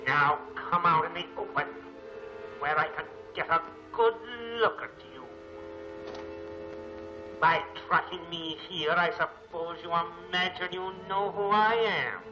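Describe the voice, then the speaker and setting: A male actor performs an imperious crime mastermind from a 1940s movie serial. The man's high voice is slow, ominous and grandly formal. The old soundtrack is muffled, with music underneath. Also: close to an everyday voice. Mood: gloating menace. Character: menacing male masked crime lord, gloating and imperious.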